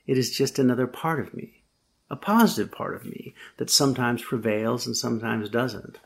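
Gravelly, husky man preaching. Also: medium pitch, normal speed. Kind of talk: sermon with first-person storytelling.

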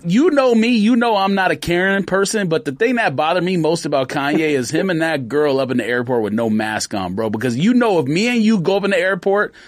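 A man's voice: on the high side, expressive, a little fast, few pauses, dry recording. A man co-hosting a podcast in casual chat, conversational and a bit hesitant. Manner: conversational, questioning, a little hesitant.

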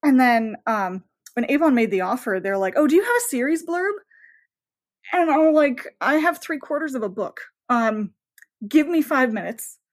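A woman's voice: high voice, animated, moderate pace. A woman novelist talking casually as an interview guest, enthusiastic and rambling, often voicing her own thoughts as asides.

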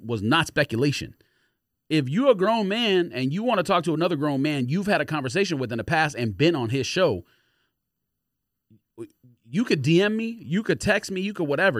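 A man's voice: fairly high pitch, fairly bright tone, no echo, studio quality. An American man co-hosting a casual podcast, speaking bluntly and indignantly in slangy phrases. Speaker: podcast co-host.

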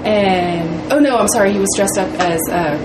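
Woman in a loud, echoey room. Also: mid-range voice, normal speed, heavy background noise. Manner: acts out quoted speech. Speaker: film cast or crew interviewee. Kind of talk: casual interview anecdote.

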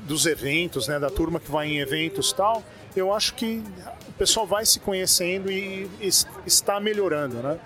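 A man with a Brazilian Portuguese accent speaking as an interview guest, relaxed and halting as he thinks aloud. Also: high-pitched, faint music behind. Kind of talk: casual conversation. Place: conference event venue.

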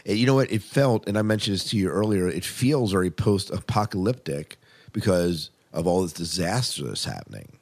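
Male podcast co-host, deep voice, casual and enthusiastic reviewer with emphatic delivery. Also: animated, brisk, darkish tone. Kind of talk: casual review chat about TV premieres.

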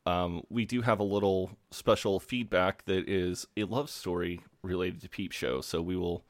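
A man's voice: sits low, steady tone, normal speed, dry recording. A man co-hosting a podcast in casual chat, swearing freely and laughing at himself, congested. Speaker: podcast co-host.

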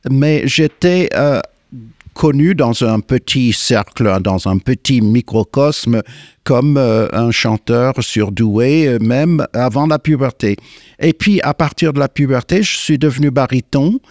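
Slow older man with American accent. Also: mid-range voice, darkish tone, no room sound, clean studio sound. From United States. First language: English. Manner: slow, hesitant, many fillers and restarts. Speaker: guest. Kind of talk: radio interview. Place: radio studio.

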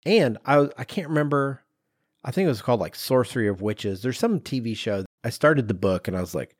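Male co-host, medium-low voice, dry recording; loose, rambling conversational storyteller who voices imagined exchanges. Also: animated, brisk, dry recording. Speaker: podcast co-host. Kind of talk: casual chat, hypothetical debate.

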